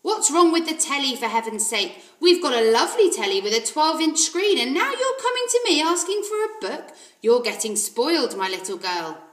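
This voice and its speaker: A woman voicing a rude, scornful father in a children's storybook, loud and indignant, scolding as he goes. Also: high-pitched, big pitch swings, moderate pace, hardly any pauses, distant mic, pitched above the performer's own voice. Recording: roomy echo.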